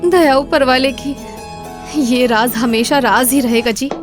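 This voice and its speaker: A woman voicing a devout, meek young village wife in an animated Hindi moral story, pleading and dutiful. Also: high-pitched, a little slow, over music. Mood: grateful.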